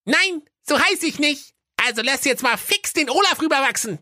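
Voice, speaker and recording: Wicked gnome doctor for comic audio fairy tale: small, bossy, scolding, high and sharp, dry sound. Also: animated, moderate pace, dry recording, full character voice. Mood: smug, impatient. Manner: pushy, scolding.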